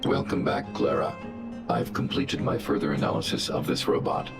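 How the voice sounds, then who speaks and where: A huge male guardian robot speaks in clipped, analytical statements with almost no warmth. His voice sits extremely low and gravelly. The game audio carries a roomy echo under loud music. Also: expressive, normal speed, exaggerated voice.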